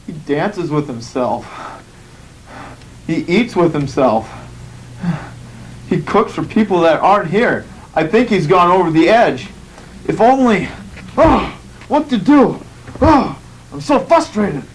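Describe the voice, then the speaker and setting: Young guy overacting worry in a homemade skit, high bouncy voice, faint hiss. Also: lively intonation, slow pace, pauses often, poor audio. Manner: overacted, melodramatic fretting. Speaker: host and comic actor. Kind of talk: comedic home-video skit.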